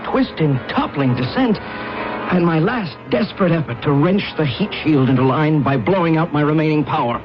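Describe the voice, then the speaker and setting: A man's voice: on the high side, animated, moderate pace, close to an everyday voice. An actor playing an earnest, formal spaceman from another galaxy in an intense radio drama style. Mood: grim recollection. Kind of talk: radio drama acting, interior monologue. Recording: muffled old radio broadcast, music underneath.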